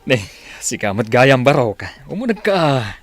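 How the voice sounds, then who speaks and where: Welcoming father, mid-pitched. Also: big pitch swings, a little slow, over music. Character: hospitable middle-aged father welcoming his daughter's suitor. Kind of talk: radio drama acting.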